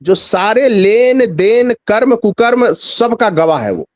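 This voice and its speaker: Animated high-pitched man giving political commentary. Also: takes it slowly, no room sound. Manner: emphatic, repeats phrases for effect, rhetorical questions. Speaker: journalist, news panel guest.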